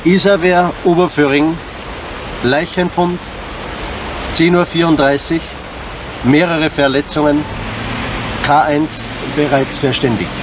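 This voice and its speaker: A man's voice: on the high side, steady tone, takes it slowly, pauses often. An actor playing a matter-of-fact male police dispatcher with a clipped official manner in a 1970s German radio drama. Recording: very noisy police radio message.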